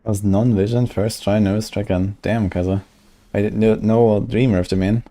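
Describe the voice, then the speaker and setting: Low-voiced man musing over a game. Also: fairly even intonation, moderate pace, dark tone. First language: German. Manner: musing, calculating aloud. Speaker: streamer. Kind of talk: casual gameplay commentary.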